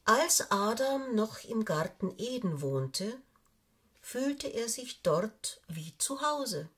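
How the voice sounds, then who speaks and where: An older woman reading a fairy tale aloud for children, with measured, expressive storytelling and deliberate pauses. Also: fairly high pitch, breathy edge. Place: small indoor room, seated on a sofa.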